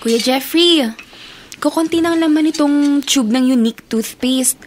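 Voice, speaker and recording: High-voiced young Filipina sister. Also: female voice, a little slow, soft background music. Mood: caring. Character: dutiful, caring young woman, student younger sister. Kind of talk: Filipino radio drama acting.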